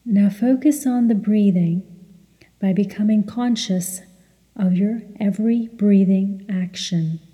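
A woman narrates a guided meditation in a very soft, dark, relaxed tone. She speaks slowly and soothingly, with measured instruction, and her voice trails off in a long reverb. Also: medium pitch.

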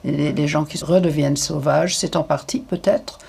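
Raspy, gravelly older woman. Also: deep voice, steady tone, fairly quick, a little mellow. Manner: frank, hesitant with many fillers. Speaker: interviewee. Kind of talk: candid interview answers.

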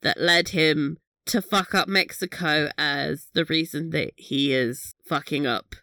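A woman's voice: deep voice, unhurried, bright, forceful tone, dry recording, studio quality. A woman co-hosting a podcast, chatting casually about a TV episode, laughing often and swearing offhand. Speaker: podcast co-host. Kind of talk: casual recap chat.

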